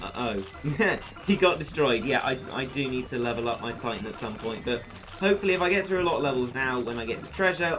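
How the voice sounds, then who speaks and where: A man giving upbeat, joking commentary over a mobile game, his voice going a little hoarse. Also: mid-range voice, background noise. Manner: chatty, upbeat, self-deprecating jokes. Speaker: gaming YouTuber. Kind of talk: let's play commentary.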